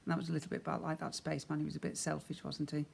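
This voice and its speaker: A man's voice: fairly high pitch, monotone, rapid-fire, gravelly, husky. Man reading a children's story aloud. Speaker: primary school teacher.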